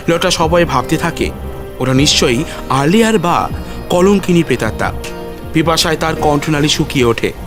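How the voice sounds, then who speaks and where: A man narrating a dramatic audio story in a literary, suspenseful manner. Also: on the high side. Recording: music behind the voice.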